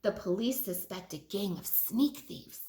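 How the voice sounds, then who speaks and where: A woman's voice: mid-range voice, expressive, measured pace, dark tone, slight background noise, voice pushed down. A woman voicing a businesslike, easily worried male museum director in a children's storybook.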